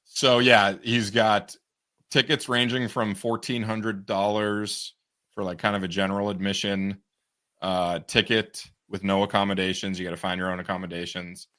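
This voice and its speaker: A relaxed man co-hosting a casual news podcast. His voice sits on the low side. He laughs easily as he tells the story. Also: normal speed. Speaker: podcast co-host.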